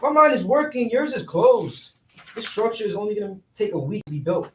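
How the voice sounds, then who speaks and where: A man's voice: sits high, sing-song, brisk, a bit bright. A man playing a confident, scheming construction worker in a staged reading of a play. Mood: insistent. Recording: roomy, distant, noisy microphone.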